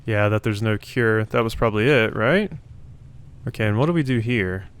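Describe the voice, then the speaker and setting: A man's voice: on the low side, normal speed, clear, resonant tone. A man giving casual gameplay commentary, thinking aloud and questioning himself as he goes. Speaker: let's play host.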